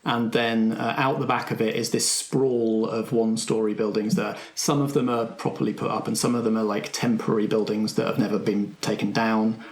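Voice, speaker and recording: A man's voice: lowish voice, level tone, normal speed, hardly any pauses, roomy sound. A man narrating a tabletop roleplaying game casually and off the cuff as game master.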